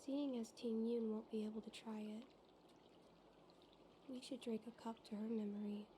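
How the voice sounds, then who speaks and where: A woman's voice: on the high side, fairly even intonation, normal speed, dark tone, slight background noise, natural voice. A woman voicing an earnest, cheerful young female tea house owner in a video game, polite and heartfelt. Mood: tender, wistful.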